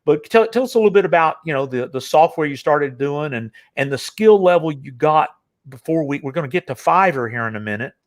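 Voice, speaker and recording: A man's voice: fairly high pitch, lively intonation, fairly quick. Rambling older male interview host. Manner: rambling, many fillers and restarts. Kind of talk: casual interview chat.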